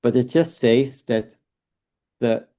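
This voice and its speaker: A man's voice: mid-range voice, slow, warm tone. A man in his fifties from Belgium with a Belgian accent, a fertility doctor explaining things patiently, step by step, with tag questions. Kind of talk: explanatory interview talk.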